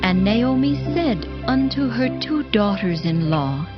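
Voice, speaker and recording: A woman narrating scripture from a script in a solemn, formal and deliberate storytelling style. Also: medium pitch, expressive, dull audio. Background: music.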